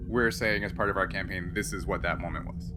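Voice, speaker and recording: A man explaining and narrating a tabletop roleplaying game as game master, in a conversational, halting way. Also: low voice, steady tone. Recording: background music under the voice.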